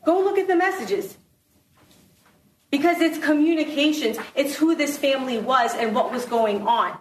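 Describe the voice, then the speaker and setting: A woman's voice: high voice, normal speed, distant mic. A woman prosecutor giving a closing argument in court, putting rhetorical questions to the jury. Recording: roomy courtroom with faint noise.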